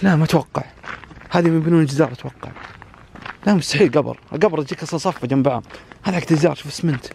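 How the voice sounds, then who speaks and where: Tense young man, hurried and gravelly. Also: a little high, slight background noise. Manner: hushed, tense, murmuring prayers and giving orders. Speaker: vlogger. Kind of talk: night exploration vlog. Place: outdoors at night, abandoned cemetery.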